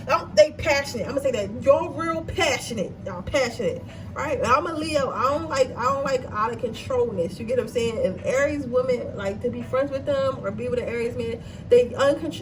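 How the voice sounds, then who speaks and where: A woman giving a casual tarot reading, chatty and blunt, swearing freely and checking in with her viewers, her nose slightly stuffy. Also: a little high. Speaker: tarot card reader. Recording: distant mic with noisy background.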